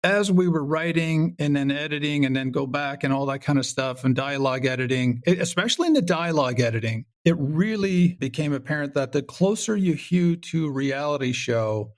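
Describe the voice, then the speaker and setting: A man's voice: a little high, normal speed, few pauses. A man talking casually as an interview guest, a writer explaining his work and acting out little asides. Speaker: guest, writer and creator of audio comedy.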